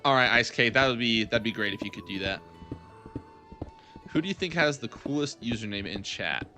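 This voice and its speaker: Bright-voiced young man streaming. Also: fairly low pitch, lively intonation, moderate pace, soft background music. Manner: rambling, thinking aloud. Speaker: livestream gamer host. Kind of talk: casual chat while gaming, answering chat.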